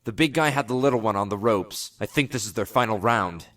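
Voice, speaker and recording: An actor playing an earnest, persistent, dutiful ensign in a science fiction audio drama. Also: male voice, lowish voice, fairly quick, a bit bright, distant mic, lightly acted. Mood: anxious, reporting. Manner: earnest, pleading with superior.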